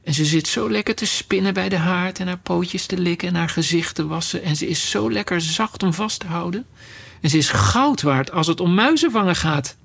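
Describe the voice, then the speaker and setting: An eager, chatty young girl, as a male narrator puts her on, raised in pitch and rambling politely in a storybook reading. Her voice keeps a slightly gravelly grain beneath the lift. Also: animated, moderate pace, fairly bright tone, full character voice.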